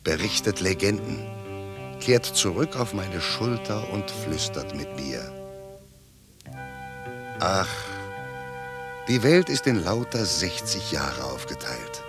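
An actor playing a wistful poet who delivers a lyrical, declamatory monologue in a radio drama. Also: male voice, low voice, big pitch swings, slow pace, pauses often, over music, heavily acted. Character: wistful poet sending thoughts across the world.

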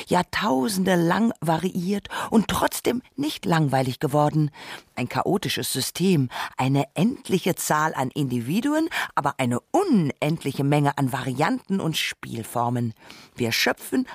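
A thoughtful, husky radio essayist. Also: female voice, on the low side, sing-song, measured pace, darkish tone, no room sound, clean studio sound, heavily acted. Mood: fascinated. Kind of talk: scripted radio essay narration.

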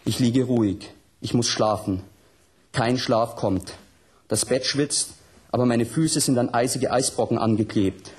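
A man's voice: medium pitch, natural voice. An actor playing a tormented, provocative punk author with a southern German accent, reading his text aloud in a vehement radio drama monologue. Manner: vehement, colloquial, declamatory.

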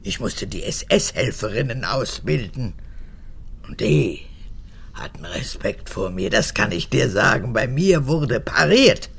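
A woman's voice: very deep voice, very animated, creaky, slightly rough, exaggerated voice. An actress playing a vain, erratic old mother and former officer in a radio drama, her voice cracked and often falling to a whisper. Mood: bitter, proud. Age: 80s. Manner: theatrical, erratic, halting then snapping. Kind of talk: German radio drama acting.

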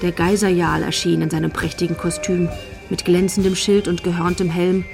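A female narrator of a crime radio play. She tells the story in a scripted style with a fairly low voice. Music is clearly audible behind her. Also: little pitch movement, normal speed. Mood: measured.

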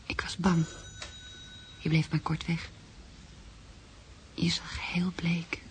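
A woman's voice: lowish voice, little pitch movement, takes it slowly, whispered, rough recording. An actress playing an anxious, devoted young woman in love in a radio drama. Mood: frightened.

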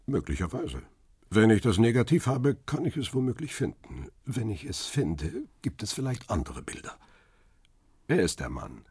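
An actor playing a world-weary, guarded paparazzo who narrates a radio crime drama in a measured, understated, husky way. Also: male voice, on the low side, very animated, a little mellow, no echo, studio quality, heavily acted. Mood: guarded, hesitant.

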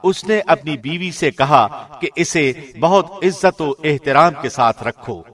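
A man's voice: a little high, animated, fairly bright tone. A man reading a scripted translation of scripture as a professional radio presenter. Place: studio.

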